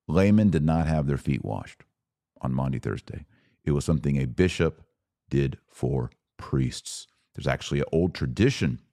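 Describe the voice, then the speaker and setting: Male podcast host, deep voice, close dry sound, conversational explainer who leans on key phrases. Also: a little mellow, no echo. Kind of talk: informal explanatory talk on church liturgy.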